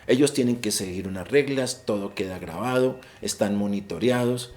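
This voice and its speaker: A man's voice: on the low side, moderate pace, a little mellow. A man with a Colombian accent advising students on an English exam in a virtual session, explaining rules and repeating key points. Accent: Colombian Spanish. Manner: explanatory, repeats and restarts words, stresses key advice. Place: online session.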